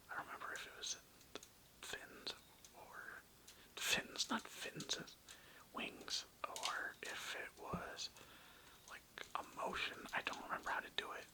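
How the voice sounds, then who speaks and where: Whispered, casual ASMR rambler. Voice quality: breathy, unvoiced whisper. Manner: informal, rambling, sweary. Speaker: ASMR content creator. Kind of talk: ASMR, casual rambling toy show-and-tell.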